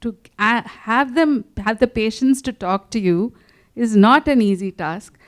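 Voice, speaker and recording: A woman, an author answering questions in a relaxed conversation, often restarting her sentences. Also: fairly high pitch, a little slow. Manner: animated, many restarts and fillers. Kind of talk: conversational interview.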